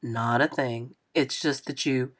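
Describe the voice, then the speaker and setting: A woman's voice: rumbling low, fairly even intonation, a little slow, pitched below the performer's own voice. A woman voicing a jokey, easygoing older man who teases a young boy in a drawling, playful way. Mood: teasing.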